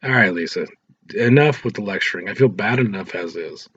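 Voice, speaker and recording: A man's voice: on the low side, expressive, brisk, a bit bright, a little hiss or hum. A man voicing a troubled, edgy teenage boy, sheepish and weary, in a read-aloud story. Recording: roomy echo, dull lo-fi sound.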